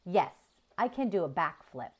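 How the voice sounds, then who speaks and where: Lady doing a helpful girl robot from a kids storybook, formal and measured, kinda slow. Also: lowish voice, sing-song, husky, dull audio, heavily acted. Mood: obliging. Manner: measured, formal phrasing without contractions. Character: helpful, literal-minded female farm robot telling stories.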